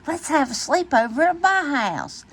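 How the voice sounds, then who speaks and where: A woman's voice: high voice, sing-song, measured pace, fairly bright tone, pitched above the performer's own voice, exaggerated voice. A woman voicing a cool, laid-back young male cat in a children's storybook, easygoing and given to exclaiming. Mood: upbeat.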